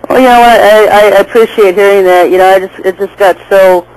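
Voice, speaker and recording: A woman's voice: mid-range voice, steady tone, fairly quick, darkish tone, low-quality recording. A woman hosting a call-in radio show, chatty and laughing easily, often restarting her sentences.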